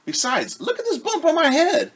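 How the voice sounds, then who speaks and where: A man's voice: very high-pitched, wildly swooping pitch, moderate pace, fairly bright tone, pitched above the performer's own voice, exaggerated voice. A man voicing an anxious, easily panicked female hen in a storybook, with worried, exclaiming delivery. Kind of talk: storybook character voice.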